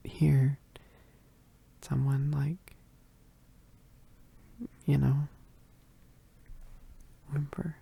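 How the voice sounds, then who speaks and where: Shy, needy werewolf roommate for roleplay ASMR, played by a performer: very dark, soft voice with creaky edges, halting and affectionate. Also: medium pitch, full character voice. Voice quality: soft, breathy, husky. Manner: bashful, halting, giggly, often dropping to whispers. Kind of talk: roleplay ASMR, intimate one-sided dialogue.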